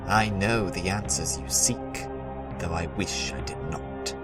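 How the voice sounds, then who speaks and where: A man playing a grave, wise old village elder, speaking solemnly in a fantasy audio drama. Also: low voice. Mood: grave, regretful. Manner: solemn, measured, portentous. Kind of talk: fan audio drama acting. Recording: loud music under the voice.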